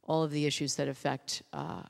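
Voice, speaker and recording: A woman giving measured, reflective introductory remarks as a speaker on a public panel. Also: deep voice, little pitch movement, vocal fry. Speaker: panel speaker, co-founder of a veterans' charity. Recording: reverberant hall sound.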